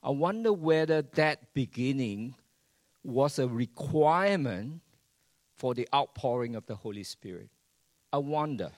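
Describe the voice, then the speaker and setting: Slow-preaching man. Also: mid-range voice, expressive, no echo. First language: Chinese. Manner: dramatic, swings between hushed and loud, rhetorical questions to the congregation. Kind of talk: sermon.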